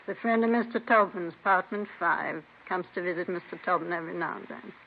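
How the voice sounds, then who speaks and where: A woman's voice: on the low side, fast talker, gravelly. Chatty landlady on tinny old radio. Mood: forthcoming. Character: chatty, particular apartment building manager. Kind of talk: 1950s radio drama acting. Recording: narrow, slightly noisy old radio recording.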